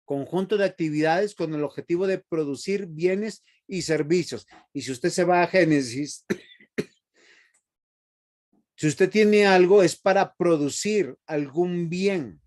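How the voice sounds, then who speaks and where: A man's voice: on the high side, a little mellow. Preachy man lecturing a Bible class. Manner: preachy, asks the class questions. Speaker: Bible seminary teacher.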